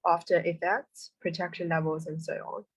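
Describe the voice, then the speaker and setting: A woman's voice: on the low side, level tone, moderate pace, slightly rough, no room sound, rough recording. A woman in her twenties answering interview questions, with many fillers. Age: 20s.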